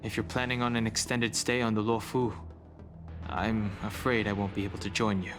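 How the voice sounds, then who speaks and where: A man playing a solemn, reserved exile burdened by his past in a video game, speaking in a measured, formal way. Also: fairly low pitch, little pitch movement, over music, natural voice. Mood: grave, resolved. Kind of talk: English video game voice acting.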